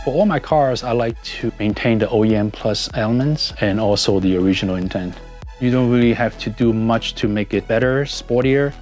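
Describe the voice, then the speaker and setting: A man's voice: mid-range voice, moderate pace, darkish tone. A middle-aged man from Hong Kong with a Hong Kong accent, telling his story reflectively as a car enthusiast in a documentary interview. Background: background music.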